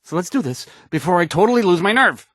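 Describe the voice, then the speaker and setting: A man's voice: sits high, lively intonation, fast talker, fairly bright tone, no echo, studio quality. A man acting a shy, nervous young radio DJ in a video game, stammering, hesitant and unsure of himself. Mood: anxious resolve. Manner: stammering, hesitant, self-doubting, trailing off. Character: painfully shy, nervous, awkward male radio DJ.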